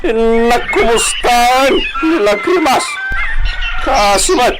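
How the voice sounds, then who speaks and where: Man playing high-voiced bandit boss over loud music. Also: animated, takes it slowly, heavily acted, poor audio. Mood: unhurried commanding. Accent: Ilocano. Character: bossy leader of a bandit gang in hiding. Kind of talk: Ilocano radio drama acting.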